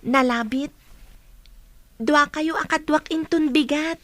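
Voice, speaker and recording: A woman's voice: sits high, slow pace, a bit bright. A woman playing a dutiful, grateful teenage daughter in a radio drama, speaking earnestly and tenderly. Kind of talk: Ilocano radio drama acting.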